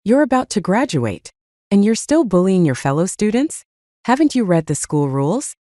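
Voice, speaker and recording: A woman's voice: medium pitch, big pitch swings, moderate pace, warm tone, no echo, clean studio sound, close to an everyday voice. A woman voicing a stern teenage student council president in an audio story, in an admonishing manner.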